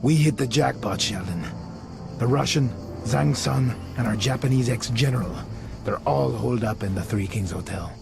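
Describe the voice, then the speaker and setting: A man playing a cocky, wry action-hero secret agent in a video game, with a gravelly voice. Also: mid-range voice, moderate pace, lightly acted. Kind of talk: video game cutscene acting. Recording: music behind the voice.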